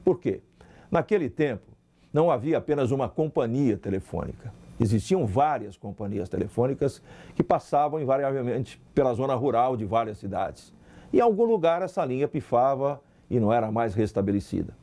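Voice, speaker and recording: A man's voice: mid-range voice, animated, a little fast, mellow. A Brazilian man, a sports broadcaster, recalling past work in an interview in a reflective way with emphatic lists. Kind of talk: interview recollection.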